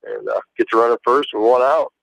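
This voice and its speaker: A man's voice: medium pitch, fairly even intonation, a little fast, vocal fry, fairly bright tone, muffled recording. An American man giving casual, rambling color commentary on a live baseball radio broadcast. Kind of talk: casual live game analysis.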